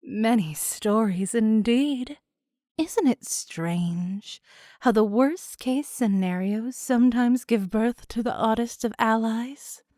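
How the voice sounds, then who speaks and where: A mysterious storyteller played by a woman narrates a fantasy tale in a fairly high voice. She is very slow and portentous, recorded dry and close. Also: dark tone, no echo. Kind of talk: dramatic scripted narration.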